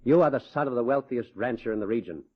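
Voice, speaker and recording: A man's voice: medium pitch, fast, close to an everyday voice. Man acting a stern, domineering father, muffled old radio. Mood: stern, commanding. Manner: commanding, blunt, impatient. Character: stern, domineering, money-minded wealthy rancher father. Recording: muffled, husky old-time radio recording.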